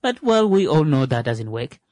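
Radio host guy riffing jokes, pitch jumping all over, dry close sound. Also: big pitch swings, normal speed, a little mellow, no echo. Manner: joking, rambling with many fillers. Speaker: radio talk show host. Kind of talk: comedic monologue.

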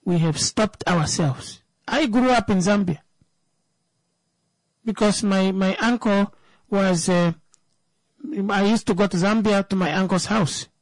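A woman's voice: mid-range voice, a little slow, long pauses, darkish tone, no room sound, rough recording. A woman, giving an emphatic opinion talk that turns into a personal story, repeating words for stress.

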